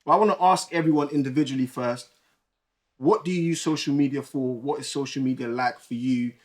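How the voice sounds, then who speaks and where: A young man hosting a casual podcast chat, relaxed and quick to laugh. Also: mid-range voice. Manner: relaxed, chatty, laughs easily. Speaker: podcast host.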